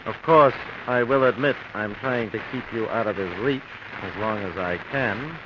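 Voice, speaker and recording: An actor playing a suave, calculating older con man in an old radio drama, smooth and controlling, on a muffled, noisy recording. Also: lowish voice, normal speed, lightly acted. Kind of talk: 1940s radio acting.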